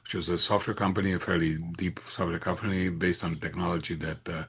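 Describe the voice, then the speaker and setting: Man in his 60s, Romanian accent, deep voice, husky rasp, halting conversational delivery, interview guest. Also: steady tone, fairly bright tone. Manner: halting, hesitant phrasing with frequent pauses. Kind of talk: conversational autobiographical answer.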